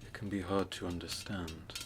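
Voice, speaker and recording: Man acting as gentle sorcerer mentor, low voice, flat intonation, soft breathy delivery, measured explanations, fantasy ASMR roleplay. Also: background noise, lightly acted. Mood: musing, gentle. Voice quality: soft, breathy, often near whisper. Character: calm scholarly male sorcerer mentor showing magical relics.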